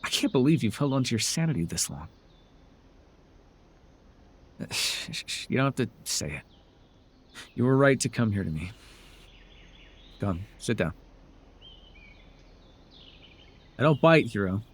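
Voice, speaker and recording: A man's voice: medium pitch, big pitch swings, a little fast, pauses often, husky. A man playing a sardonic, telepathic supervillain in a dramatic audio roleplay, with a theatrical, taunting way of talking. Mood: dawning sympathy. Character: sardonic male telepathic supervillain, menacing yet curious. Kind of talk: dramatic ASMR roleplay acting.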